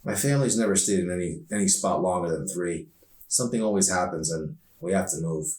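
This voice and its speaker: A lonely, awkward teenage boy played by a man, very low in pitch and guarded. The speech is halting and understated, recorded at a distance in an echoey room for an audiobook. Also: a little mellow, close to an everyday voice. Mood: resigned. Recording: roomy echo, distant microphone.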